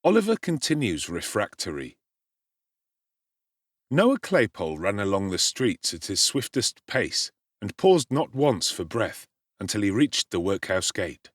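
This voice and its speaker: Man narrating with wildly swinging pitch. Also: a little slow, no echo. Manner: theatrical, highly expressive reading. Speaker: audiobook narrator. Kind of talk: dramatic audiobook narration. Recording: dry close studio sound.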